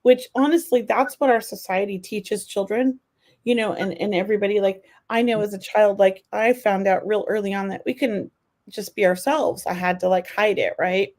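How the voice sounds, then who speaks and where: A woman's voice: mid-range voice, normal speed, darkish tone. A middle-aged woman co-hosting a spiritual radio talk show, rambling enthusiastically with many fillers and restarts. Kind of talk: casual talk show chat.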